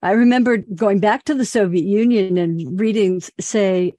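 A woman's voice: mid-range voice, normal speed, mellow, dry recording. Woman interviewer, dry close sound. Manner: conversational, hedging questions. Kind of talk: interview questions.

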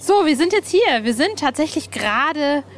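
A woman's voice: sits high, big pitch swings, normal speed. A woman hosting a podcast reportage on the move, speaking in an informal, chatty and curious way. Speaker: podcast host. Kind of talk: casual on-location reportage. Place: on foot around a castle. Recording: noisy location recording with ambience.

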